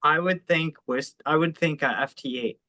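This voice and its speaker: A man with a fairly high-pitched voice speaks in an informal online meeting. He sounds like an organizer and teacher, talking in an explanatory, conversational way. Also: normal speed, sharp tone. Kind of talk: casual online meeting talk. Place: remote teleconference call.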